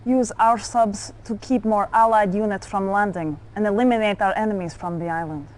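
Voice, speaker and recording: Lady doing a soviet officer giving a mission briefing, mid pitch, cool and in control. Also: fairly even intonation, normal speed, a little mellow, close to an everyday voice. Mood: brisk, commanding. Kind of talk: dramatic game briefing.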